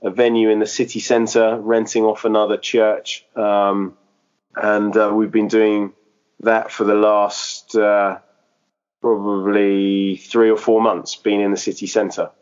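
A man's voice: lowish voice, flat delivery, takes it slowly, darkish tone, smooth, highs cut off. A man who planted a church, speaking in a podcast interview, hesitant and full of fillers and restarts. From England.

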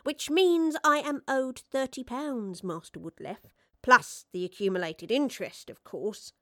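A woman's voice: high voice, unhurried, a bit bright, no echo, studio quality, pitched above the performer's own voice. A woman voicing a pompous, short-tempered male swindler with affected, haughty aloofness in an audiobook. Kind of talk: audiobook character acting.

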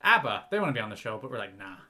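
Lively, bright man, jokey. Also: lowish voice, rapid-fire. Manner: jokey, teasing, lots of laughing. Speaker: podcast co-host. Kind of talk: casual chat.

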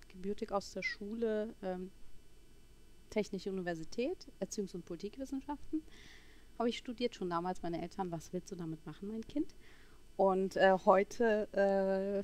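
A woman in her forties from Berlin telling her personal story as a podcast guest, halting as she searches for words. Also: mid-range voice, darkish tone, slight background noise. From Berlin, Germany. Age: 40s.

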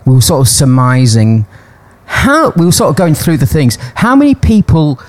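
A man's voice: a little high, huge pitch swings, moderate pace. A man co-hosting a podcast, chatting casually, rambling and teasing with frequent laughter. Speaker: podcast co-host. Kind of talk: casual chat. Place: Thailand.

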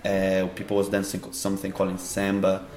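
A man with a Brazilian Portuguese accent, answering interview questions in a halting way as he searches for words. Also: deep voice, monotone, darkish tone, reverberant. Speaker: dance teacher and festival organizer.

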